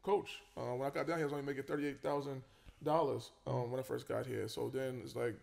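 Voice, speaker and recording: A quick-talking man, at an ordinary pitch for a man. He tells his story in a relaxed, casual way, full of fillers and restarts. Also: gravelly. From Queens, New York. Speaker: college basketball head coach, guest.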